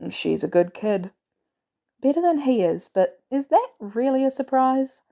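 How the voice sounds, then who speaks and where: Female reader as wisecracking male tech hero in a story, smitten, flippant, relaxed timbre. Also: sits high, measured pace, gravelly, pitched above the performer's own voice, full character voice. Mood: casual. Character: witty, lovesick male tech billionaire hero. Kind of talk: storybook character dialogue.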